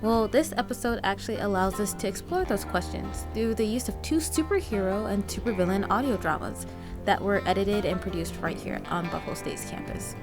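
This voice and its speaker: A woman's voice: medium pitch, fairly quick, music in the background. A young woman hosting a radio program, reading a scripted introduction in a chatty, playful way that addresses the listener. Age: young adult. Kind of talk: scripted, conversational radio narration.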